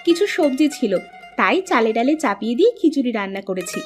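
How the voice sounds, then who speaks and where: A woman voicing a bossy, teasing housewife in an expressive Bengali cartoon style. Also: fairly high pitch, quick pace, soft background music, natural voice. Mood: caring, teasing.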